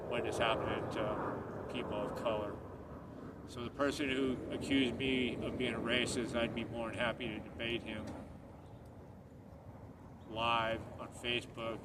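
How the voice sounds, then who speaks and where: Middle-aged man from Northern Ireland, rambling vlogger, monotone, recorded from off-mic outdoors. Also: on the low side. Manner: earnest, rambling, repeats himself. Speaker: channel host. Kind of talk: casual monologue to camera. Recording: distant mic, street noise.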